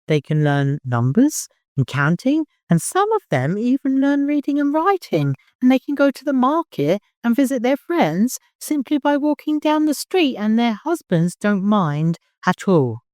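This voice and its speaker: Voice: mid-range voice, sing-song, measured pace, few pauses, darkish tone, dry recording, studio quality, pitched above the performer's own voice. A narrator voicing a wistful, worldly woman in an audiobook, speaking earnestly and with emotion.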